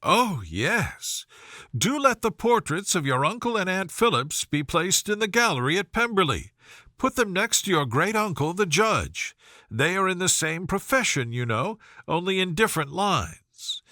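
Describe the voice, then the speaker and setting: A haughty young gentlewoman from a storybook, acted out by a male narrator. The voice is lifted high and bright, with mock-sweet, sly barbs and an arch, teasing lilt. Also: sing-song, a little slow, no echo, studio quality, full character voice.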